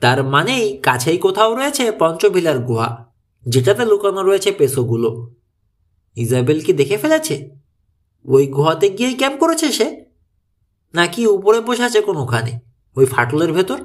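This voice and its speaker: A man's voice: high-pitched, sing-song, normal speed, pauses often. A man narrating a mystery story in a dramatic, suspenseful storytelling manner. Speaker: audiobook narrator.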